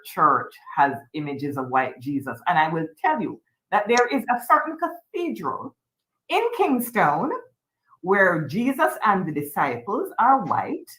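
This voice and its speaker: A woman's voice: on the low side, big pitch swings, unhurried, a bit bright. Emphatic Caribbean woman in an echoey room. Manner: earnest, emphatic, speaks with conviction. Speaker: book club discussion leader. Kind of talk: casual panel discussion.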